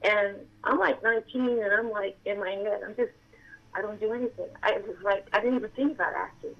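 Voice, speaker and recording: A middle-aged woman with a childlike voice, telling her story as an interview guest and acting out the dialogue with sudden jumps in pitch. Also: a little fast, gravelly, dull audio. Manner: excitable, reenacts dialogue with big pitch jumps.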